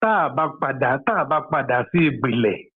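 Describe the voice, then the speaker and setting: A man's voice: mid-range voice, expressive, brisk, slightly rough. A man with a Nigerian accent calling a political show by phone, speaking emphatically and repeating phrases for weight. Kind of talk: opinionated political phone conversation. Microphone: phone call. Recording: narrow tinny phone line.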